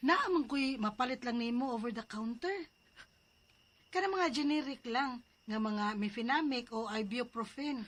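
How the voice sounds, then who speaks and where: A helpful woman played by an actress giving advice in a radio drama. Her voice is slightly high, over faint noisy ambience. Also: normal speed, close to an everyday voice. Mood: helpful advising. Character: helpful woman advising on over-the-counter painkillers.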